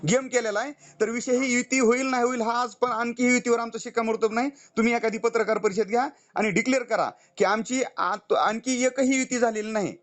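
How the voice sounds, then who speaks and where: A man's voice: very high voice, fairly even intonation, fairly quick, hardly any pauses. A man arguing heatedly on a recorded phone call as a party activist, pleading and insistent and cutting in on the other person. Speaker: party youth-wing activist.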